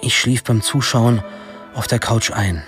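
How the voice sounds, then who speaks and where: A man's voice: medium pitch, a little slow, music in the background, lightly acted. An actor narrating a radio drama as a wry young man looking back on his troubled teens, with a dry, ironic manner. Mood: wry, reflective.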